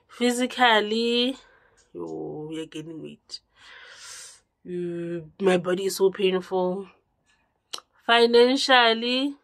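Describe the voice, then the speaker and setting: A congested young woman chatting to camera in a small room, her voice rising and then dropping to a low murmur. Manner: chatty, with drawn-out words and sudden drops to a low murmur. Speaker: vlogger. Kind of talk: casual direct-to-camera chat.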